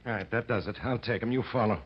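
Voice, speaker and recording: A man's voice: lowish voice, fast, very noisy, close to an everyday voice. An actor playing a tough, pushy gangster henchman with slangy, clipped talk in a 1940s radio drama. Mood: threatening, commanding. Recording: dull, lo-fi old radio recording.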